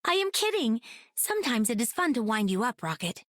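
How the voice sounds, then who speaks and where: An actress playing an empathic alien heroine in a video game, calm and formal with gentle teasing. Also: female voice, on the high side, a little fast, dry recording, clean studio sound.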